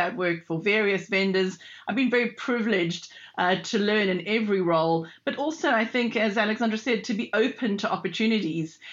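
A woman's voice: medium pitch, dull audio. A middle-aged woman with a South African accent, speaking enthusiastically as a panelist about her career in tech. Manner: enthusiastic, animated storytelling. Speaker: panelist, tech industry leader. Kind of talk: panel discussion.

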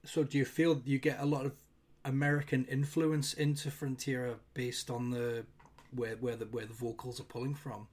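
A man's voice: mid-range voice, little pitch movement, moderate pace, few pauses, a little mellow. A man hosting a podcast interview in a casual way. He hesitates and searches for words as he frames his questions. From Scotland. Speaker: podcast host.

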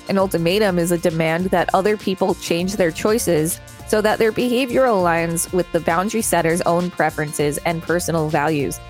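Lower-voiced woman co-hosting a podcast. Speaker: podcast co-host. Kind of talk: reading an encyclopedia page aloud with casual commentary.

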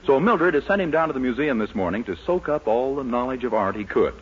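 A man's voice: medium pitch, lively intonation, fast talker. An American man announcing in a scripted 1940s radio style, with emphatic, polished salesmanship. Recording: muffled, rough 1940s radio recording.

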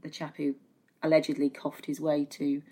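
Female guest pundit, British, slightly low register, conversational opinion with halting, repeated phrases. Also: completely flat delivery, warm tone, husky. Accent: British English. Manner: hesitant phrasing, repeats words for emphasis. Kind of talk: conversational opinion, podcast discussion.

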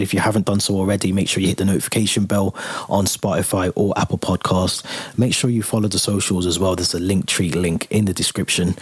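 Low husky bloke hosting a footy podcast, close dry mic, loose chatty delivery. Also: male voice, no echo. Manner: relaxed, lots of fillers and restarts, reads out channel plugs. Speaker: podcast host. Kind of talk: casual football podcast chat.

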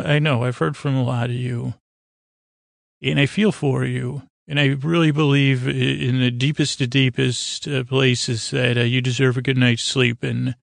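A man with a creaky voice hosting a sleep podcast in a lulling, rambling way, hesitating and over-explaining as he goes. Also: mid-range voice, no echo, clean studio sound. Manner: soothing, lulling. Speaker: podcast host.

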